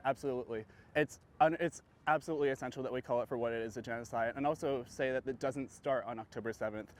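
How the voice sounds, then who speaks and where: A man's voice: medium pitch, fast talker. A man in his early twenties from Georgia, a student activist speaking assertively in an interview. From Georgia, United States. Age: early 20s. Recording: faint outdoor city noise.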